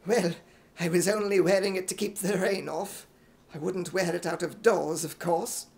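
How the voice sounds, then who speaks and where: A man's voice: high-pitched, fairly even intonation, normal speed, vocal fry, a little mellow, voice pushed down. A man voicing a blustering, scheming old retired army major in an imitation British accent for radio comedy. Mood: genial bluster.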